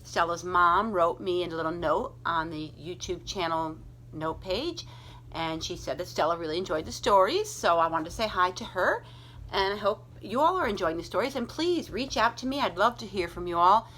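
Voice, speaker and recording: A woman librarian reading aloud to children in an expressive storytelling manner, speaking directly to young listeners. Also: fairly low pitch, moderate pace, a little hiss or hum, low-quality recording.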